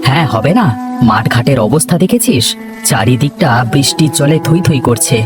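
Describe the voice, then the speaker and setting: A man voicing a worried older village father in a cartoon, with a slightly husky voice and a fretful, fatherly way of talking. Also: medium pitch, lively intonation, moderate pace, music in the background, close to an everyday voice. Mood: friendly. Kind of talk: cartoon voice acting.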